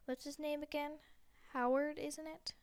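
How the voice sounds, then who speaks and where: Storybook read-aloud: woman doing a jittery husband who trips over his words, voice on the high side. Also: level tone, a little slow. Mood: nervous. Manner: stammering, hesitant. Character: nervous stuffy middle-aged husband.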